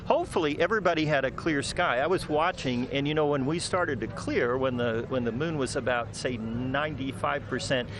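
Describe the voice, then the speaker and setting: A man's voice: mid-range voice, a little fast, few pauses, noisy background. A man reporting the weather live on location outdoors, chatting loosely with many fillers. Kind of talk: live on-location news chat. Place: outdoors downtown by a river. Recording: outdoor street with a loud crowd behind.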